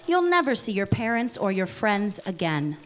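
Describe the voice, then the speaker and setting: A woman playing a menacing female vampire in a live script read, commanding and deliberate. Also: medium pitch, big pitch swings, close to an everyday voice. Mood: cold menace. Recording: live read, faint background noise.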